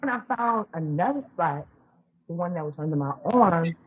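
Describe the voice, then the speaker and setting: A woman's voice: lowish voice, animated, moderate pace, slight background noise. A woman, a podcast guest telling her life story in a chatty way, full of ums and restarts. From Louisiana, US. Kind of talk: casual storytelling interview.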